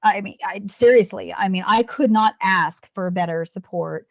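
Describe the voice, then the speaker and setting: A woman's voice: mid-range voice, normal speed, vocal fry, no echo. A woman in her fifties, a police officer and Navy veteran, talking earnestly in an interview with frequent restarts. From United States. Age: 50s. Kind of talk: candid interview talk.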